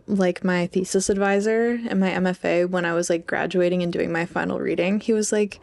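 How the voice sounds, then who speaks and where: A woman's voice: medium pitch, creaky, a little mellow. A young woman co-hosting a podcast in casual chat, informal and peppering her speech with "like". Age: young adult. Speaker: podcast co-host.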